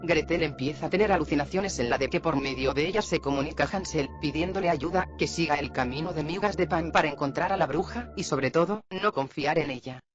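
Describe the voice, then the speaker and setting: A man's voice: on the high side, fairly quick, few pauses, highs cut off, over music. A man narrating a story recap as commentary for a video.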